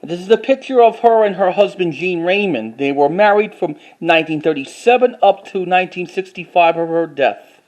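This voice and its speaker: A man with a halting, word-repeating way of talking, doing a casual show-and-tell like an enthusiastic collector. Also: high voice, poor audio. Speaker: vlogger.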